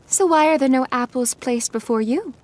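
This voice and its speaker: Woman playing a high-voiced, polite young bride. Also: moderate pace, natural voice. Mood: delighted. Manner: polite, formal, gently eager. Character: sweet, hopeful young bride, polite and eager.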